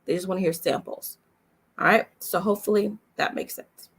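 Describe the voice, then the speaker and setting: A woman's voice: medium pitch, fairly even intonation, moderate pace, creaky, breathy edge. Emphatic woman coaching informally. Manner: emphatic, instructive, repeats key points, checks in with "okay?". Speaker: voice-over coach and demo producer. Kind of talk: informal teaching talk, coffee chat webinar.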